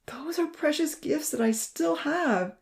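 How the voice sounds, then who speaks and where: A woman's voice: on the high side, animated, unhurried, warm tone, voice pushed up. Woman voicing a grieving young scarred man. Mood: sobbing. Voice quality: breathy whisper breaking into sobs. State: crying. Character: young burned man, anguished and tearful.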